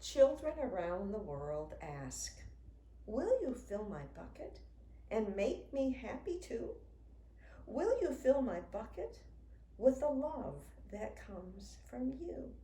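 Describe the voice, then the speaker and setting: Older woman reading slowly, very lively pitch. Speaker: children's book author reading aloud. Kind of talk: read-aloud picture book storytelling for young children. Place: indoors in a home room with a wooden desk. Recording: roomy sound with faint noise.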